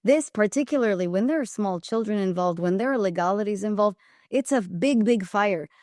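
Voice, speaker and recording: An Israeli woman talks as a podcast guest in a mid-range voice. She is emphatic and measured, and she repeats phrases to drive them home. The recording is dry and close. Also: mid-range voice, expressive, darkish tone, no echo. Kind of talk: podcast interview.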